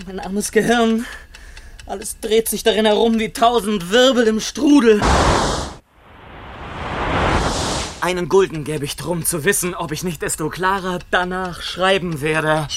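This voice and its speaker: A man acting a frail, harried gentleman writer in a radio play, whimsical, indignant and theatrically digressive, on an old recording. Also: sits high, heavy background noise. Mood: queasy, alarmed. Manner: theatrical, digressive, excitable, grumbling.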